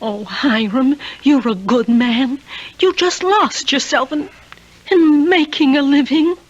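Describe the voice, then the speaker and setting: A woman's voice: high voice, takes it slowly. An actress playing an emotional, loving wife and mother in an old radio drama. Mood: tender. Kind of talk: 1970s radio drama acting. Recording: dull old radio tape, faint noise.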